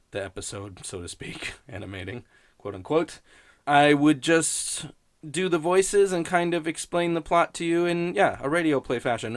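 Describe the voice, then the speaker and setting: A man hosting a podcast in casual, improvised rambling, with a sardonic, profane and self-mocking way of talking. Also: medium pitch. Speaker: podcast host.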